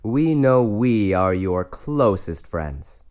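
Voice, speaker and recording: An actor playing a droll, sardonic young gentleman in an audio drama, with a dry, sarcastic manner. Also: on the low side, lively intonation, slow pace, clear, resonant tone.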